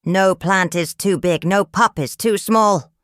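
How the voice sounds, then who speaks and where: A woman's voice: fairly low pitch, slow pace, a bit bright, no echo, studio quality. A woman voicing a confident young boy who leads rescue pups in a storybook, upbeat and commanding. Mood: confident, urgent.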